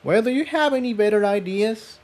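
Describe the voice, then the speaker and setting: A man voicing an excitable, cheerful young female pegasus pony, eager in manner. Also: high-pitched, a little fast, pitched above the performer's own voice, natural voice. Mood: excited.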